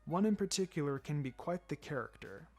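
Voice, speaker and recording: A man voicing a laid-back adult male ninja mentor in a fan fiction reading, wry and gently sombre, speaking in a measured, low-key way. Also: lively intonation, faint music behind. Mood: gentle advice. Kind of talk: fan fiction audiobook character acting.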